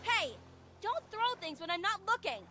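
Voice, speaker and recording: A woman's voice: very high-pitched, sing-song, moderate pace, bright, forceful tone, heavily acted. A woman voicing a young schoolgirl in a visual novel game, distracted and easily indignant.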